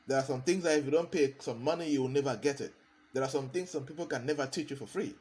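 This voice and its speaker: A man's voice: mid-range voice, fast talker, a little mellow. A man, a consultant giving a motivational talk, hammering points home by repeating key phrases. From Cameroon.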